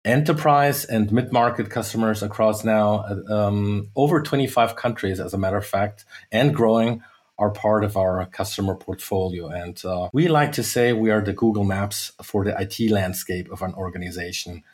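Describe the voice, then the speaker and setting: A middle-aged man from the United States, speaking as a sales executive guest in a podcast interview, measured and with many fillers and restarts. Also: lowish voice, darkish tone.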